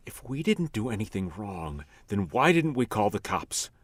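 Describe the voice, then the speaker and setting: A man's voice: lowish voice, big pitch swings, normal speed, no echo. A man playing a guilt-ridden, haunted man in a radio mystery drama, speaking hesitantly and troubled. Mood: troubled, pleading.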